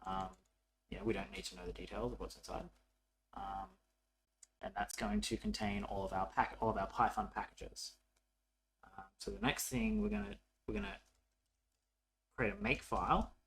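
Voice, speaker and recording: A man's voice: lowish voice, very animated, moderate pace, vocal fry, long pauses, a bit bright, slight background noise, poor audio. A man narrating a casual coding tutorial as an instructor, informal and thinking aloud as he works.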